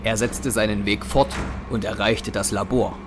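Male narrator, lowish voice, dramatic scripted storytelling over prominent music. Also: steady tone, moderate pace.

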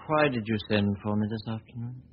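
An actor playing a confident, self-assured writer turned detective, in 1940s radio acting. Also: male voice, lowish voice, fairly quick, husky. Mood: cool. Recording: dull lo-fi 1940s radio recording with faint hiss.

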